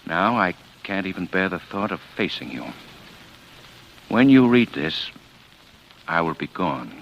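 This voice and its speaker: A grave, calculating husband in a 1940s radio thriller. His very low voice moves slowly and haltingly, with wide dramatic swings. A faint noise runs through the old recording. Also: big pitch swings, fairly bright tone, dull audio, heavily acted. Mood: solemn, heavy. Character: cold, menacing husband feigning grief over his wife.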